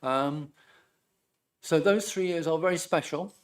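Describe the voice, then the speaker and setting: A man making a measured, deferential statement at a public hearing, addressing the chair as sir. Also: fairly high pitch. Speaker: hearing participant.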